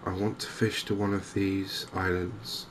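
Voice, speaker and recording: English male, very low even voice, soft-spoken cozy gaming ASMR, clear ambient noise behind. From England. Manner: soft-spoken, gentle, unhurried. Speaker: gaming channel host. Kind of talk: softly spoken cozy ASMR game commentary.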